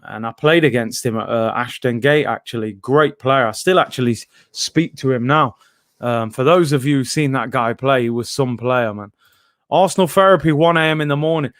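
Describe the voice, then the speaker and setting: A man's voice: mid-range voice, fairly bright tone, no echo, studio quality. A young man, casually hosting a live football stream from home and reading out viewer comments. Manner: chatty, reads viewer comments aloud. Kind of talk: casual live watchalong chat.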